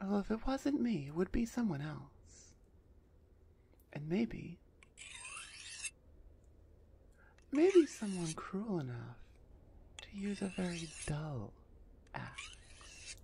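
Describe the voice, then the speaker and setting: A woman playing a big, haughty minotaur executioner in an ASMR roleplay audio drama, stern and dismissive, with a husky, deliberate delivery. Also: on the low side, big pitch swings, warm tone, a little hiss or hum, exaggerated voice. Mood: matter-of-fact.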